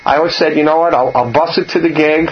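An older man with a low voice, hosting a casual radio chat and telling stories with lively jumps in pitch. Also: quick pace, highs cut off, slight background noise. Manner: storytelling, animated, jumps up in pitch.

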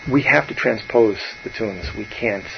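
A man, a bass player chatting casually and rambling on, often trailing off softly. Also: normal speed, creaky, lo-fi sound, a little hiss or hum. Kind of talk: casual musician chat.

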